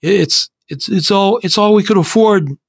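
Man voicing a crying fifteen-year-old boy, high, stammering, desperate. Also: measured pace, breathy edge, no room sound, heavily acted. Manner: stammering, pleading.

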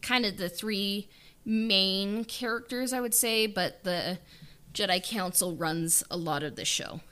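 A woman's voice: mid-range voice, unhurried, bright, forceful tone. A woman writer speaking casually and reflectively in an interview, thinking aloud with lots of "like" and "kind of". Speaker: audio drama writer being interviewed.

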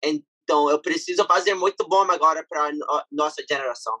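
Young man speaking learned Portuguese haltingly. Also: on the high side, sharp tone, no room sound, poor audio. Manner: halting, searching for words in a learned language. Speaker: guest athlete. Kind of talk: casual interview chat.

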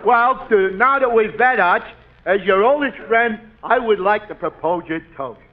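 A dopey high-voiced dimwit. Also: male voice. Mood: proud. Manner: slow, dopey drawl. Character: dim-witted, slow, good-natured man. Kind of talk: 1940s radio sitcom acting. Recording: dull old radio broadcast.

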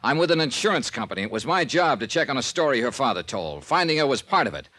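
An actor playing a tough, world-weary American insurance investigator in a 1950s radio drama, clipped, hardboiled and deadpan. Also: male voice, mid-range voice, fast talker. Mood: firm, probing. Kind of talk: 1950s radio detective acting and first-person narration. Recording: vintage radio recording with music.

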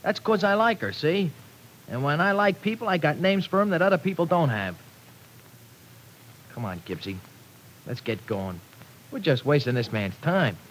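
An actor playing a cocky, defensive young ex-offender in an old radio drama, with brash, slangy wisecracks. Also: male voice, fairly high pitch, animated, normal speed, pauses often, a bit bright. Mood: brash, defiant. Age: young adult. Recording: noisy vintage radio recording.

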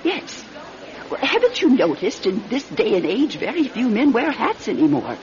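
Fretful old lady, lively pitch. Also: moderate pace. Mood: hesitant, insistent. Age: older. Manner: fussy, hesitant, insistent. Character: timid, fretful, genteel old lady citizen. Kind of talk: radio drama acting. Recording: dull, lo-fi old radio recording.

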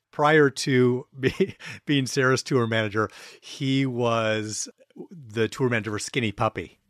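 A man hosting a podcast, casually telling an amused, measured anecdote. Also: medium pitch, fairly bright tone, dry recording, clean studio sound. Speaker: podcast host.

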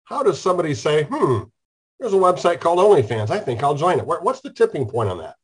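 A man's voice: a little high, sing-song, fast talker, breathy edge. Stuffed-up man hosting a casual show. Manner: joking, rambling storyteller. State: stuffed up with a cold. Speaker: host. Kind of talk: casual online talk show chat.

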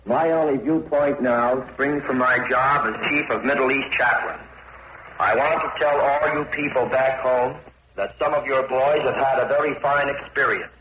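Man, American, forceful medium-pitched voice, earnest formal statement, faintly noisy old radio. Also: lo-fi sound. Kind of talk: prepared 1940s radio statement. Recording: 1940s shortwave radio with faint noise.

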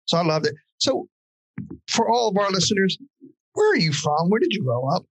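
A man's voice: high voice, very animated, normal speed, dry recording, rough recording. A male podcast host asking questions in a loose, casual way, full of "like" and "you know". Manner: loose, filler-heavy, lots of "like" and "you know". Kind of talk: casual interview chat.

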